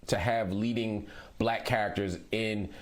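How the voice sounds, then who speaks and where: A man's voice: on the low side, fairly even intonation, measured pace. A middle-aged man hosting an interview, conversational with playful asides. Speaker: interview host.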